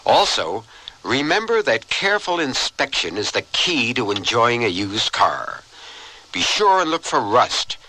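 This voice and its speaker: A man's voice: mid-range voice, very animated, a little slow, bright, forceful tone, low-quality recording. A man reading a scripted radio public service announcement, as an advisory announcer.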